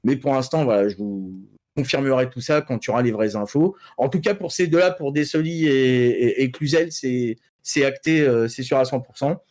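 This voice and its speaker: Halting man, casual geek news chat. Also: mid-range voice, a little mellow. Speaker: panel columnist on an anime and geek news show. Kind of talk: casual news presentation and discussion.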